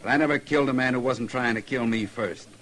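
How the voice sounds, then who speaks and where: An actor playing a weathered, proud, aging Texan gunfighter in a radio western, laconic and familiar, with a Western American drawl. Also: male voice, mid-range voice, fast, fairly bright tone, natural voice. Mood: wary, challenging. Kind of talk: old-time radio western acting. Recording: noisy vintage radio recording.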